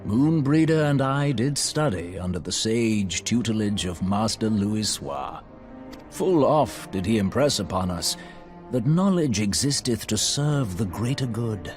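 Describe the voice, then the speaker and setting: An actor playing a solemn, grieving scholar in a video game, speaking formal, archaic, theatrical English. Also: male voice, lowish voice. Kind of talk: scripted video game drama acting, archaic diction.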